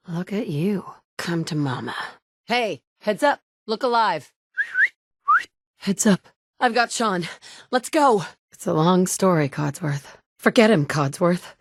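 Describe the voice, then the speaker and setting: A terse wasteland survivor. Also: female voice, fairly low pitch, no echo, studio quality. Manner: terse and commanding. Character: resolute woman survivor, a mother searching a wasteland. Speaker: player character. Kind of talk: recorded video game voice lines.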